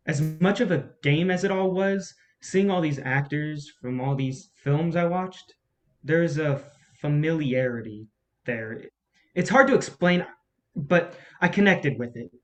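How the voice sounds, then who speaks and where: A man's voice: on the high side, unhurried, lightly acted. Man playing an earnest, hesitant young assistant. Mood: earnest, self-effacing. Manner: hesitant, sincere. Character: earnest, self-effacing young male awards-predictor assistant. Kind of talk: staged reading of a play.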